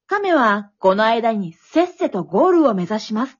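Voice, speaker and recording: A woman playing an excitable, wisecracking storyteller, narrating a comic radio drama like play-by-play with dramatic flair. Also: on the high side, moderate pace, husky. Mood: mock astonished. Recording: dull, lo-fi recording.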